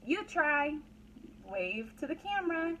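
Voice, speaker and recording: Slow-talking woman teacher reading to little kids. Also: high voice, sing-song, bright, forceful tone, slight background noise, rough recording. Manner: instructional, simple phrases with repetition and prompting questions. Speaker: pre-kindergarten teacher. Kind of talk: read-aloud lesson for young children.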